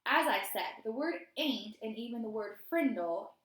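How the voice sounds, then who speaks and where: A woman voicing a formal, reasonable female school principal in a storybook, measured and diplomatic. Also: a little high, expressive, a bit bright. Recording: roomy, echoey indoor sound.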